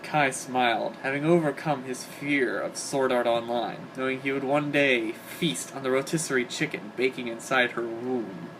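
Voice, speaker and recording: Guy doing a dramatic story reading, hobby call recording with clear noise behind him. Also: mid-range voice, steady tone, normal speed, hardly any pauses. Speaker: reader of fan fiction. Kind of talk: dramatic reading of comic fan fiction. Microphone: home microphone on an internet call.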